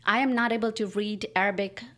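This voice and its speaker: A woman's voice: medium pitch, fairly even intonation, a little fast, a bit bright. A woman artist whose first language is Urdu, speaking earnestly in a podcast interview and reading her own writing aloud. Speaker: artist guest.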